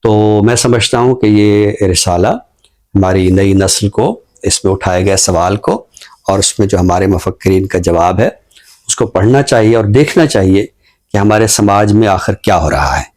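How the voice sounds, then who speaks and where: A man with a fairly low voice and a Pakistani accent speaks as a literary commentator. His delivery is measured and formal, with thoughtful pauses inside sentences. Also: darkish tone. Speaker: literary talk show guest and book reviewer. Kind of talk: unscripted book commentary and poetry recitation.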